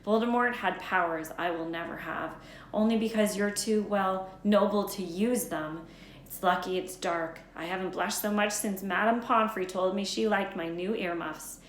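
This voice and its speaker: A woman voicing a calm, wise, modest old male wizard from a storybook, in a measured, serious, firm manner. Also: medium pitch, echoey room, a little hiss or hum, lightly acted.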